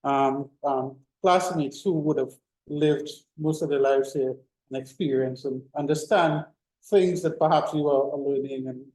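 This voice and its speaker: A man's voice: medium pitch, steady tone, unhurried, a little mellow, echoey room, rough recording. A middle-aged man giving an informal classroom lecture, full of fillers and restarts. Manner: rambling, many fillers and restarts. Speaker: university lecturer.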